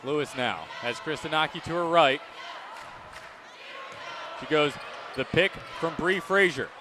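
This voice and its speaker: A young man calling live basketball play-by-play for radio in a projected, excited manner, recorded in an arena. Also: a little high, measured pace, crowd noise. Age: young adult. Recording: gym noise behind radio commentary. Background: court noise, bouncing balls.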